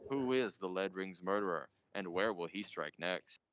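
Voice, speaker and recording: An actor playing a pompous, melodramatic 1940s radio mystery host, theatrical and portentous in manner. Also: male voice, low-pitched, moderate pace, fairly bright tone. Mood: brooding. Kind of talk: old-time radio mystery narration. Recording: muffled, noisy amateur stage recording.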